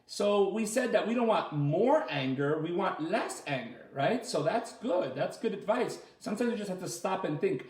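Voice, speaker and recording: A man leading a children's story time as a teacher, reading aloud and asking the listeners simple questions in an animated way. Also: high voice, normal speed, few pauses. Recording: roomy, echoey sound.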